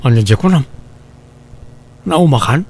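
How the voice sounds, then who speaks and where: A man's voice: mid-range voice, lively intonation, measured pace, a little mellow, husky. A man with an Ilocano Filipino accent acting as an earnest, questioning young husband in a comedy drama.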